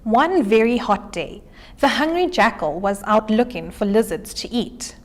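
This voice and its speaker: A woman's voice: on the high side, very animated, a little slow. A woman reading a children's storybook aloud. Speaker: story reader.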